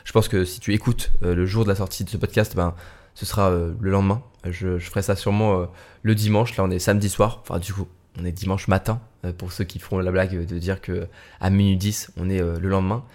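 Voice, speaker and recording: A young man talks into his podcast mic late at night, a bit tired. His voice sits on the low side, and he stumbles through fillers and restarts in a loose, chatty way. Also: little pitch movement, brisk, darkish tone. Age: young adult. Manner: hesitant, many fillers and restarts. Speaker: student podcast host. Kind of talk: casual solo podcast talk.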